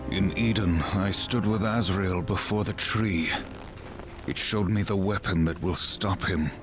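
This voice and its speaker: A man playing a grim, vengeful horseman of the apocalypse in a video game, speaking in a stern, terse, measured way. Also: low-pitched, fairly even intonation, over music. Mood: resolute. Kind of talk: dramatic video game cutscene acting. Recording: orchestral score behind voice.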